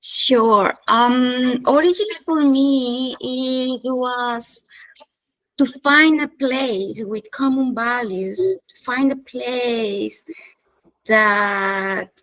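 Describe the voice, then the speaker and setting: A woman from Chile, a chief strategist, answering interview questions in a thoughtful way, with pauses and hesitations. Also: a little high, a bit bright, low-quality recording. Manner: slow, thoughtful, with hesitations and light laughs. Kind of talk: interview conversation.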